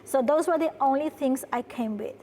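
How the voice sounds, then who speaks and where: High-voiced woman from Fiji, earnest. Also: moderate pace, warm tone, slight background noise. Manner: earnest, tag questions with "right?". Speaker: guest, production company founder. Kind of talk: interview conversation. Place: studio.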